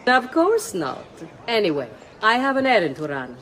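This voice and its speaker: A woman's voice: fairly high pitch, huge pitch swings, normal speed, a bit bright, slight background noise. An actress playing a refined, wry Italian mother of noble family in a video game, with an Italian accent. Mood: wry, composed. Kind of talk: video game cutscene acting.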